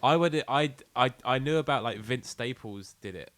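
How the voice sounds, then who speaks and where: A man's voice: medium pitch, normal speed, fairly bright tone, no room sound. A man with a southern English accent co-hosting a podcast, bantering casually with loose, jokey, sweary phrasing. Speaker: podcast co-host.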